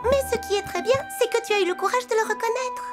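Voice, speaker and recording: A woman's voice: very high voice, quick pace. A woman voicing a kindly, reassuring dentist mouse in a cartoon, with music behind. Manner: soothing, reassuring, patient.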